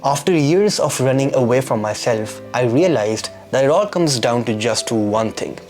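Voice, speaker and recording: A man's voice: mid-range voice, expressive, moderate pace, a little mellow, faint music behind. A man, talking earnestly and reflectively in a scripted vlog, asking rhetorical questions. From Pakistan. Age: 20s. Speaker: YouTube creator.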